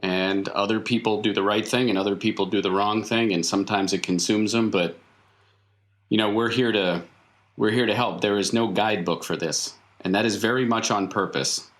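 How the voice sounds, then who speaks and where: A man speaking as game master in an improvised tabletop roleplaying session, with measured, halting phrasing. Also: on the low side, steady tone, a bit bright. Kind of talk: improvised tabletop roleplay narration and in-character dialogue.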